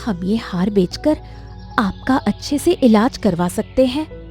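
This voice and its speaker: Lady doing a worried young village girl, devoted daughter, mellow voice, kinda slow, dramatic story style. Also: a little high, expressive. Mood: worried, pleading. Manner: anxious, questioning. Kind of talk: dramatic animated story acting. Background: music.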